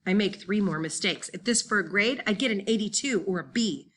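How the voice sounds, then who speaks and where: A woman reading a first-person children's novel as an expressive, dramatic audiobook narrator. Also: mid-range voice, fairly quick. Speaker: audiobook reader.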